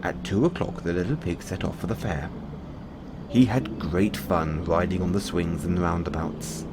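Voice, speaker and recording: A man reading bedtime fairy tales as an audio roleplay, in measured storybook narration. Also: low-pitched, background noise.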